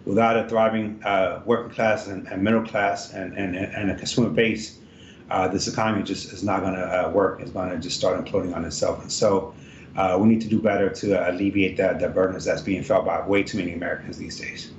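Hesitant man on a roomy, dull line. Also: level tone. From Ethiopia. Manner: halting, many fillers and restarts. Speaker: congressional candidate guest. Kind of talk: interview answers. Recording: roomy, dull remote line with faint rumble.

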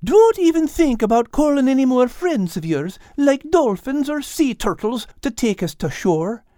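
A man's voice: very high-pitched, big pitch swings, moderate pace, dark tone, dry recording, clean studio sound, pitched above the performer's own voice. A man voicing a whiny, cowardly, melodramatic male cat in a children's audiobook. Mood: panicked, whining. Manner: whining, dramatic. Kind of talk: audiobook character voice.

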